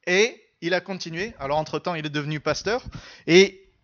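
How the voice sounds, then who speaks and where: A man's voice: a little high, normal speed, a bit bright, highs cut off. A man preaching a church sermon, telling and teaching in an earnest, emphatic way and repeating phrases for stress.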